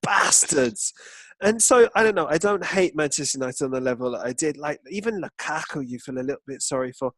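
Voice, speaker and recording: A man's voice: on the high side, animated, brisk, fairly bright tone, no room sound. A man co-hosting a football podcast, chatting casually with irreverent, sweary, joking banter.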